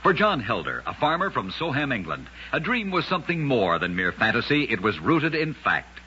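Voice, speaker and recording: A man hosting a radio show, narrating scripted curiosities in a measured, dramatic storyteller manner. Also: medium pitch, huge pitch swings, bright, forceful tone, husky. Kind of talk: scripted old-time radio narration of oddities. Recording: dull, lo-fi old radio recording.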